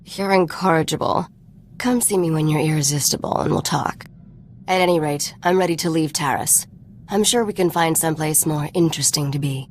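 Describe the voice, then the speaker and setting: A woman's voice: sits low, fairly quick, slight background noise. A woman playing a sly, cool, worldly treasure hunter in a video game, wry and knowing. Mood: teasing.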